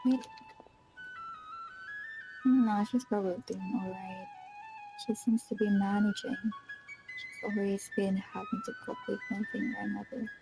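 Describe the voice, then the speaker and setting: Girl streaming a game, casual comments, kinda high n animated voice, game music behind her. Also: unhurried, pauses often, low-quality recording.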